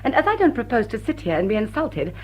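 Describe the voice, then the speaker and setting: An actress playing a witty woman thief among English high society in a radio drama, coolly polite and mock-innocent. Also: very animated, quick pace, poor audio. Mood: coolly indignant. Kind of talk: classic radio drama acting.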